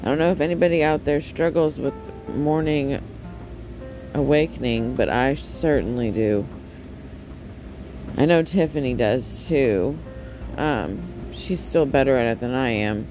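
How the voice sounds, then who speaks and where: A man with a deep, raspy voice, chatting casually and candidly on his solo podcast, with frequent ums and self-corrections. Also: unhurried, over music, poor audio. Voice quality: deep and raspy, especially in the morning. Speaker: podcast host.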